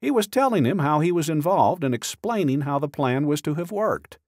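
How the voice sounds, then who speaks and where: A man reading a scripted true-crime audiobook in a measured, dramatic storytelling way, close to a studio microphone. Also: on the high side, animated, darkish tone, no room sound. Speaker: audiobook narrator.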